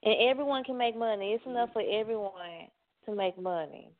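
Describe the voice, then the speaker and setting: A woman's voice: medium pitch, fairly quick, no echo. A woman from the American South, a rapper and co-host, talking in an outgoing and agreeable way. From born in Atlanta, raised in Dallas. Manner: outgoing, agreeable, apologetic with little laughs. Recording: tinny phone-line sound.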